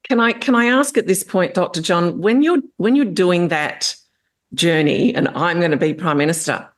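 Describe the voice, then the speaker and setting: A woman's voice: on the low side, normal speed. A woman hosting an interview, asking engaged, probing questions and offering brief affirmations. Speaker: podcast host. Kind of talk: interview conversation.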